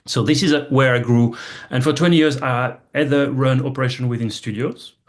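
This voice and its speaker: A man's voice: mid-range voice, steady tone, fairly quick. A French man with a French accent, speaking English as a second language and earnestly describing his career on a video call. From France. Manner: earnest, slightly non-native phrasing. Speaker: game industry veteran, presenter. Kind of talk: presentation to an online club.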